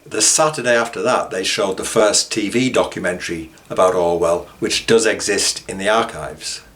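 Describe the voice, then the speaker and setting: Male presenter, low voice, informal documentary commentary. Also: normal speed, fairly bright tone. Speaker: author and presenter.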